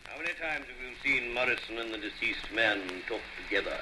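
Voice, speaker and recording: A man's voice: medium pitch, brisk. Formal male questioner on noisy shortwave. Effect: shortwave radio. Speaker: courtroom questioner. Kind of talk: formal questioning and reading aloud. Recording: noisy shortwave radio broadcast.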